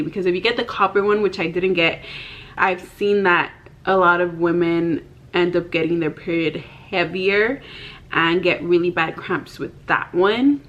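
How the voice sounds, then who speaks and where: A woman's voice: lowish voice, muffled recording. A woman of about thirty talking casually to the camera in her bedroom. She is candid and chatty and fills her talk with "like". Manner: chatty, candid, many "like" fillers. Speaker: vlogger. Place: bedroom, indoors. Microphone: camera in front, head and shoulders.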